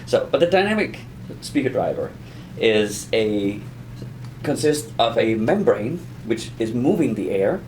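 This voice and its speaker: A man giving an informal conversational lesson as an explainer, in a halting, rambling way with self-repetition. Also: fairly low pitch, sing-song, a little mellow, a little hiss or hum. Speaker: audio explainer teaching beginners.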